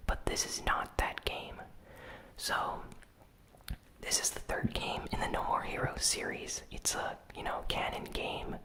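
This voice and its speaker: Slow, soft-whispering ASMR news host. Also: poor audio. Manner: soft unvoiced whisper throughout; unhurried, with frequent "you know" and "uh" fillers. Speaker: host of a gaming news channel.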